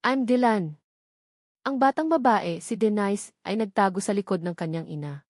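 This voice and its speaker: A woman narrates a scripted romantic drama in a storytelling style. Her voice sits at a medium pitch for a woman. The sound is dry and close, with no room around it. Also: lively intonation, normal speed, a little mellow, no room sound. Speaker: narrator of audio love stories.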